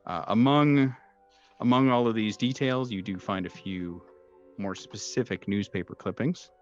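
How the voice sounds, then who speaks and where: A man with a low voice runs a tabletop horror game as its game master. He narrates off the cuff, hesitating often as he feels his way through each sentence. The sound is a bit dull and lo-fi, with faint music underneath. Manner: halting, hesitant delivery with frequent long hesitations. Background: background music.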